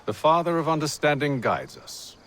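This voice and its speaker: An actor playing a cool, sarcastic Templar leader with a dry, composed delivery in a video game. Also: male voice, a little high, expressive, moderate pace, fairly bright tone. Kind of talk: video game cutscene acting.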